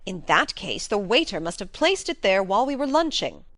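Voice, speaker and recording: A woman's voice: mid-range voice, sing-song, moderate pace, a bit bright, no room sound, clean studio sound. A woman voicing an eager, frank young male baronet in an audiobook story. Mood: hearty, excited.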